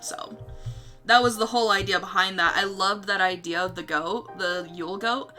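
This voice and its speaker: A woman's voice: mid-range voice, moderate pace, bright, forceful tone, faint music behind. A woman chatting casually as a livestream host, with a giggly, rambling manner and some casual swearing. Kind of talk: casual livestream chat about own story notes.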